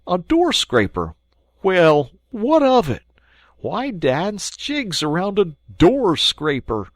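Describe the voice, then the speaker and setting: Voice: medium pitch, wildly swooping pitch, slow, no echo, voice pushed up. A reader voicing a fussy, prim male mole who talks in a pettish, lecturing way, in a storybook reading. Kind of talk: storybook read-aloud.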